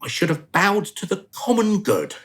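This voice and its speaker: Man acting a flustered, excitable dead soul. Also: sits high, moderate pace. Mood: apologetic. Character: anxious, pleading dead man, eager to be reincarnated. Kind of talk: radio play acting.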